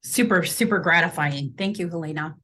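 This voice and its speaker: A woman's voice: on the low side, a little fast, a bit bright. A middle-aged woman from the US Midwest, a real estate agent introducing herself on a podcast in a friendly, upbeat way.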